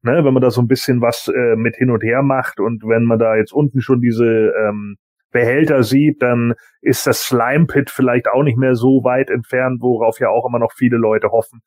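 A man's voice: mid-range voice, fairly even intonation, normal speed, darkish tone, no echo, studio quality. A man giving blunt, informal opinions in casual chat as a podcast panelist. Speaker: podcast panelist on a toy collector show.

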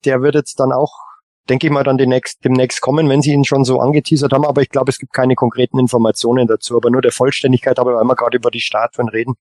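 A man giving casual commentary as a podcast panelist, with hesitant, halting phrasing. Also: medium pitch, level tone, darkish tone, no echo, clean studio sound.

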